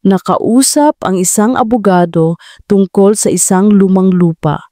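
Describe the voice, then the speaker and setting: A woman narrator acts out an evasive woman who keeps her poise under pressure. She sounds defensive and insistent, in a soft-edged mellow voice. It is audio drama with a very dry, close sound. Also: mid-range voice, dry recording, close to an everyday voice.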